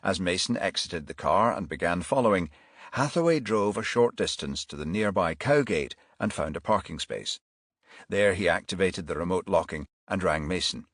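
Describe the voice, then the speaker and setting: Man, audiobook narrator, low pitch, dry studio sound, scripted thriller prose. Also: lively intonation, normal speed, a bit bright, slightly rough, no room sound.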